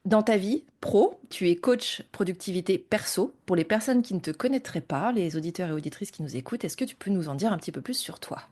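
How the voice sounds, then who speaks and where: A woman hosting a business podcast, interviewing a guest in a relaxed, conversational way with occasional fillers. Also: medium pitch, brisk, few pauses. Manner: friendly, scripted intro then relaxed chat. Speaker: podcast host.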